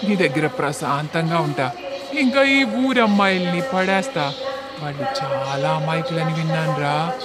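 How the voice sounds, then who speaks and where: A man's voice: a little high, animated, a little slow, loud music behind the voice. A man voicing a cocky, selfish young city man in a cartoon story. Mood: breezy, cocky.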